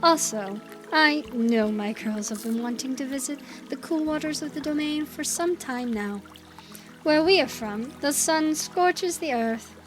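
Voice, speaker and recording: A woman playing a proud, gracious young desert chieftain in an audio drama, with measured, formal speech. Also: high-pitched, faint music behind. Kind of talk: audio drama character acting.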